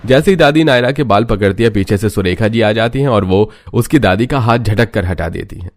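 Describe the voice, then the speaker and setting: A man's voice: fairly low pitch, brisk, no echo, clean studio sound. A man reading a scripted family story as narrator. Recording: music bed under the voice.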